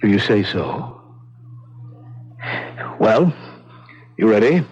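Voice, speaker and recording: Plain-spoken prison guard, husky, low, casually kind, coaxing, dull old radio drama sound. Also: male voice, sing-song, extremely slow, a little mellow, full character voice. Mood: casual, kindly. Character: matter-of-fact male prison guard, quietly kindly. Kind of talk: 1970s radio drama acting. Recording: muffled old radio broadcast.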